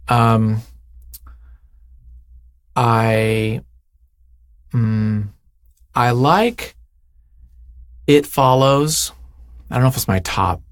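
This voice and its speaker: Guy being interviewed, thinks out loud, gushes, mid pitch, really dry audio. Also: very slow, pauses often, dry recording. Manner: effusive, emphatic, thinks aloud. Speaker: interview guest, writer. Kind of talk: casual, unscripted chat.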